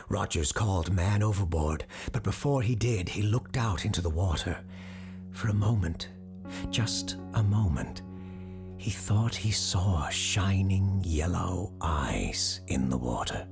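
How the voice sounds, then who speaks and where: A woman playing a grave supernatural radio host and storyteller, speaking in a measured, solemn, deliberate way in a scripted audio drama. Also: rumbling low, animated, gravelly, darkish tone, heavily acted. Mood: solemn, eerie. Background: music under the voice.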